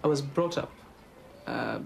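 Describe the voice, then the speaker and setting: A middle-aged man from Bangladesh with a Bangladeshi accent, answering interview questions in a measured, hesitant way. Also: medium pitch, vocal fry, a little hiss or hum. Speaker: health promotion officer interviewee.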